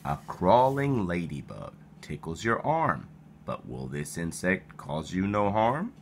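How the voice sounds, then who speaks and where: Slow, animated man reading to children. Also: low voice, slight background noise. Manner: animated, with playful exclamations and sound effects. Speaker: picture-book reader. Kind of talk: expressive children's read-aloud storytelling.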